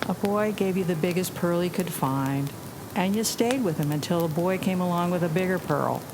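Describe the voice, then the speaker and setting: A woman's voice: lowish voice, moderate pace, natural voice. An actress playing a plucky, wry young woman pilot in a 1940s wartime audio drama, playful and teasing. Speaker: actor. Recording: noticeable noise behind the voice.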